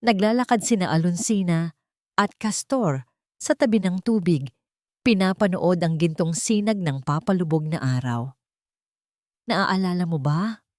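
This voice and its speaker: A woman narrating a scripted Tagalog romance story in a measured, gently emotive reading. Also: fairly low pitch, expressive, no room sound, clean studio sound.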